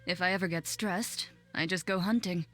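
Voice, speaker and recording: A woman voicing a cool, composed young huntress in a video game, terse, measured and dry. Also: on the low side, faint music behind, close to an everyday voice. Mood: deadpan. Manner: deadpan, measured, matter-of-fact. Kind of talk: video game character dialogue.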